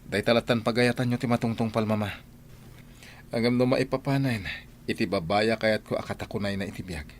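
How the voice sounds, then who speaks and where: A man's voice: on the low side, a little fast, slight background noise, close to an everyday voice. An actor playing a stubborn, resolute young man who stands up to his parent in a radio drama, firm and exasperated. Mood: defiant. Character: defiant grown son in love, stubborn and resolute.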